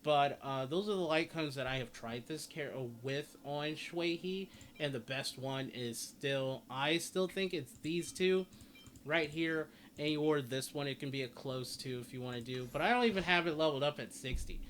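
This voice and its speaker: A man's voice: fairly high pitch, normal speed, fairly bright tone. A man giving a casual, rambling video game guide, often repeating and rephrasing himself. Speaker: gaming video creator. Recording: noticeable background noise.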